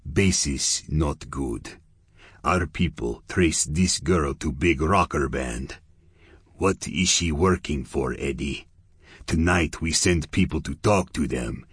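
A cold, deliberate Russian criminal, as a male narrator puts him on, speaking broken English in a deep, unhurried growl. The voice sits low and husky, every word weighed. The recording is close and dry. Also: a bit bright, no room sound, heavily acted. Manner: broken English, slow and measured. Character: menacing Russian man in a tan suit.

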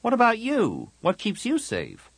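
A man's voice: fairly high pitch, wildly swooping pitch, moderate pace, fairly bright tone, husky, no room sound, voice pushed up, heavily acted. A man voicing a spirited, stubborn fourteen-year-old girl in an audiobook. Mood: urgent, challenging. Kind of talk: audiobook character dialogue.

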